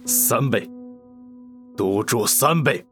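A man acts out a sly, aging rival boss in an audio drama. He draws his words out slowly with lively swings of pitch, all mock courtesy and needling taunts. Faint music plays behind him. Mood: commanding. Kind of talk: Chinese audio drama acting.

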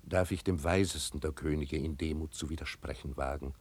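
An actor playing a grave, formally deferential royal courtier in a 1950s classical radio drama. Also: male voice, a bass voice, fairly even intonation, fairly quick, darkish tone, breathy edge. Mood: humble, measured. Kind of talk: 1950s German stage-style radio acting.

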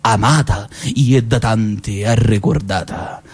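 A man with a Sicilian accent performing a satirical theatrical monologue, mocking and swinging between a sing-song lilt and sudden shouts. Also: lowish voice, slow, husky. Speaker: actor in a one-man radio show. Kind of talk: satirical theatrical monologue mimicking a radio host.

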